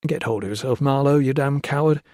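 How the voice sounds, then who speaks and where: Reader doing a nervous young officer, self-scolding, quick anxious questions. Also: male voice, medium pitch, a little mellow, no room sound, clean studio sound, pitched above the performer's own voice, close to an everyday voice. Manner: rapid worried questions.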